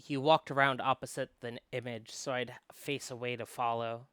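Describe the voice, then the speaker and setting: A performer voicing a wounded, wistful visual novel character in a hushed, dramatic way. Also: medium pitch, little pitch movement, moderate pace, clear, resonant tone, no room sound, close to an everyday voice. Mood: wistful, hurt. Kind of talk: acted visual novel reading.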